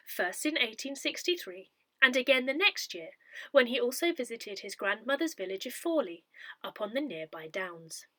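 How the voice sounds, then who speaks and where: A woman reads prepared text aloud with careful, measured phrasing. Her voice is bright and clearly projected, with lively rises and falls in pitch. The sound is dry and close, free of room echo. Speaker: volunteer reader of an audio parish newsletter. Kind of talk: scripted read-aloud of articles, poems and notices.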